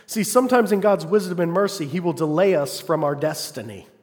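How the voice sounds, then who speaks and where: Brief: male preacher, slightly high voice, fervent call-and-response delivery, long reverb of a hall. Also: a little fast. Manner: call-and-response, asks congregation "Amen?"; rises to loud, fervent passages, drops to quiet asides. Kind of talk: sermon.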